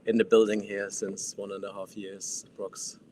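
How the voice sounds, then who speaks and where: Guy, low voice, halting english, faint hiss. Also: little pitch movement, darkish tone. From Germany. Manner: halting, searching for words. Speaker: building manager with an architecture background.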